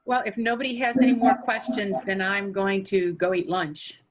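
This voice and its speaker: A woman's voice: mid-range voice, normal speed, slight background noise, poor audio. Woman asking direct questions. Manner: direct, asks plain questions. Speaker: guest presenter. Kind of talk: casual online meeting chat.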